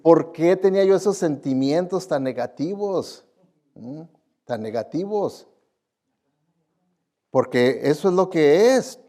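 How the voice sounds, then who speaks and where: Higher-voiced man preaching in conversational style. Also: lively intonation, slow pace, long pauses, dark tone. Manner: admonishing, often asks listeners questions and tags for agreement. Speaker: pastor preaching to his congregation. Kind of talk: conversational sermon with rhetorical questions. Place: speaking live before a church congregation.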